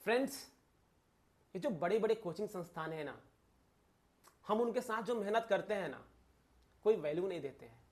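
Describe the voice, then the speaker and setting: A man with a notably high voice teaches exam material informally. He is emphatic, circles back to repeat key phrases and puts rhetorical questions to his viewers. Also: expressive, normal speed, long pauses, a little mellow. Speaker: exam coaching teacher and channel host. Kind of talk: informal exam-analysis lecture addressed to viewers.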